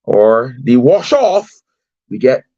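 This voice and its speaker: A man with a Bajan accent, a podcast guest talking sport in a calm, wry, mock-solemn way. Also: mid-range voice, takes it slowly, no echo, dull audio. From Barbados. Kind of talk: casual sports chat.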